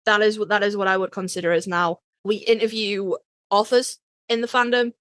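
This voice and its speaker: A woman's voice: mid-range voice, steady tone, a little fast, fairly bright tone, dry recording, clean studio sound. A woman co-hosting a podcast in casual fan chat, informal and emphatic, repeating phrases to drive a point home. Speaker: podcast co-host.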